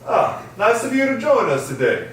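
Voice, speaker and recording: A man's voice: very high voice, very animated, normal speed, fairly bright tone, a little hiss or hum, heavily acted. A man reading the part of a strict science teacher at a script table read, in an echoey room with a distant mic. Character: strict male science teacher addressing his class.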